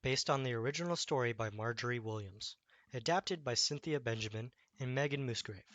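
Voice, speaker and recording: A man's voice: medium pitch, fairly quick, fairly bright tone, no room sound. Man narrating a bedtime story, close dry sound.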